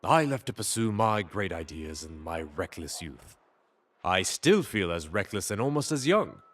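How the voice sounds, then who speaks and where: A man's voice: low voice, big pitch swings, unhurried, fairly bright tone, heavily acted. A man playing an eager, eccentric aging inventor from the Ottoman Empire in a video game, with a theatrical, whimsical delivery. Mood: eager, reckless. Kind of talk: game character dialogue.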